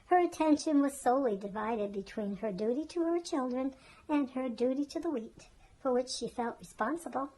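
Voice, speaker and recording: Lady with a highish voice reading a kids storybook out loud. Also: expressive, moderate pace, rough recording. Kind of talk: children's storybook narration.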